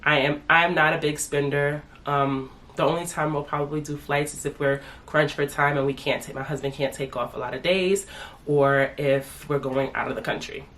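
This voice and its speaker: A woman's voice: low-pitched, steady tone, moderate pace, a bit bright. A woman in her thirties chatting casually as a family vlogger, congested from a sinus infection and calling herself "your girl". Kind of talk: casual chat to camera.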